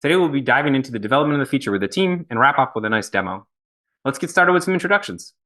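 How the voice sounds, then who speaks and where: A man hosting a product team panel on a video call, talking quickly with loose restarts. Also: mid-range voice, sing-song, bright, forceful tone. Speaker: host, head of product.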